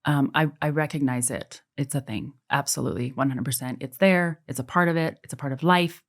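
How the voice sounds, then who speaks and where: A woman's voice: a bass voice, a little fast. A woman in her forties, a guest on a podcast, talking in an earnest, reflective way and often repeating words as she thinks. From United States, military family. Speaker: guest, adoptive mother and author. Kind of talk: casual podcast conversation.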